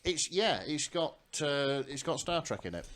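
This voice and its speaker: A man's voice: a little high, big pitch swings, measured pace, fairly bright tone. A man co-hosting a podcast in casual fandom chat, often hesitating and thinking aloud. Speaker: podcast co-host.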